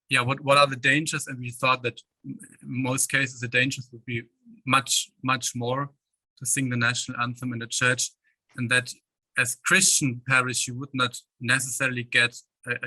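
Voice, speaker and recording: A man's voice: mid-range voice, sharp tone, dry recording, rough recording. A man reporting his group's discussion in an online seminar, hesitant and searching for words. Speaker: workshop participant.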